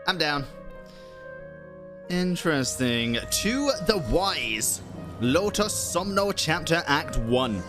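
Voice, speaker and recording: A woman's voice: sits low, sing-song, takes it slowly. A woman game streamer giving casual live commentary, dropping short, wry reactions over the dialogue. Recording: game music behind the voice.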